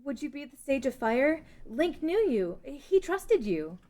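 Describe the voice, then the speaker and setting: A woman's voice: sits high, big pitch swings, a little slow, warm tone. A woman playing a determined, commanding young fantasy queen in an amateur table read. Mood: resolute.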